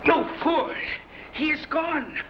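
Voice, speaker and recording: A man's voice: very high voice, slow. A man playing a grim, terse mutinous sailor and henchman, conspiratorial, in an old-time radio adventure. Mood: urgent. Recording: dull, noisy old radio recording.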